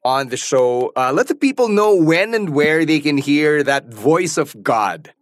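A man's voice: fairly high pitch, animated, measured pace, no echo, studio quality. A man hosting a podcast in a conversational style. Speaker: podcast host.

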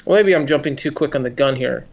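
A man's voice: mid-range voice, quick pace, creaky, highs cut off. A man co-hosting a podcast, casually discussing film in a hesitant, circling way. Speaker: podcast co-host.

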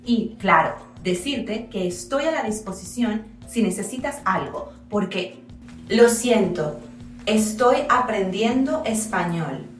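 A woman speaks a sample reply in an easy conversational way at an unhurried pace. The room rings with echo and she sounds far from the microphone. Faint music sits behind her. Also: medium pitch, lively intonation. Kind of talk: example dialogue in a lesson.

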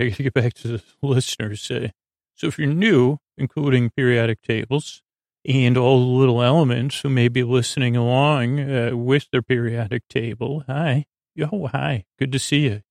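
Creaky-voiced man, meandering and halting. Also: mid-range voice, no echo, clean studio sound. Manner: meandering, halting, stammering with repeated false starts; whimsical, goofy wordplay in soothing reassurance. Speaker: podcast host. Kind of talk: rambling bedtime sleep podcast monologue.

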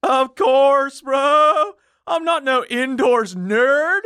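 A man playing a laid-back, dim surfer dude with a slangy, drawn-out drawl in a comedy. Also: very high-pitched, pushed and bright, no echo, studio quality, voice pushed up, heavily acted. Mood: breezy, boastful. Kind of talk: comic audio drama acting.

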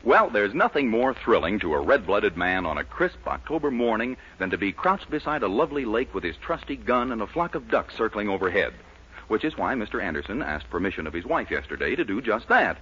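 A man's voice: on the low side, very animated, brisk, a bit bright, breathy edge. An American man announcing a 1940s radio show in a polished, emphatic scripted style. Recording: muffled 1940s radio recording, music behind.

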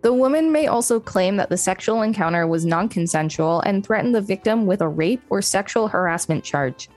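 A woman's voice: mid-range voice, expressive, brisk, darkish tone, faint music behind. A woman co-hosting a podcast, reading an article aloud with casual asides. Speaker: podcast co-host.

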